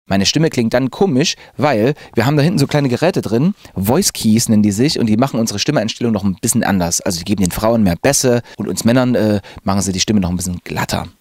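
A man's voice: on the low side, fast, hardly any pauses, dry recording. A man in his thirties, a radio presenter, talking casually and enthusiastically into a handheld microphone in a radio studio. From Zwickau, Saxony, Germany. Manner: lively, chatty, enthusiastic. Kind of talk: casual personal account to camera. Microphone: handheld reporter mic.

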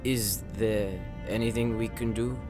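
A man playing a gentle, unsure, tipsy older brother with a hesitant delivery in an audio roleplay. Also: on the low side, fairly even intonation, a little slow, music in the background, close to an everyday voice. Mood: concerned, gentle.

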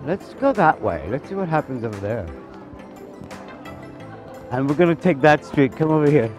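A man's voice: fairly high pitch, animated, normal speed, a little mellow, soft background music. Playful man on a street. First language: Spanish. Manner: playful, chatty, laughs often. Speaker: interviewee. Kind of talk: casual walking street interview. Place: narrow city street outdoors.

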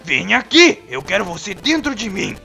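Irritable male cartoon cat creature, acted, Brazilian accent: sharp, gravelly, foul-mouthed bully. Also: medium pitch, very animated, moderate pace, faint music behind, full character voice. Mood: gloating, aggressive. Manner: brash, foul-mouthed, mocking. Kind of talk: cartoon fandub acting.

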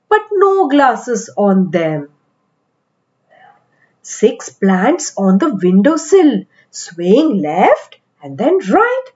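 A woman with an Indian accent reading aloud to young children as a storytelling host, with deliberate, expressive phrasing. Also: high voice, lo-fi sound. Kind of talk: animated picture-book read-aloud for kids.

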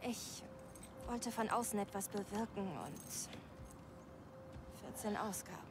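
A woman's voice: medium pitch, steady tone, measured pace, noisy background, natural voice. A woman voicing an earnest, driven young female journalist in a dubbed video game. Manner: serious, thoughtful game dialogue. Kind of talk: German game dub.

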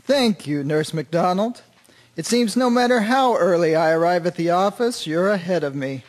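A man's voice: sits high, animated, normal speed. A man playing a courteous army surgeon and officer in a radio drama, talking formally. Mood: courteous, resolute.

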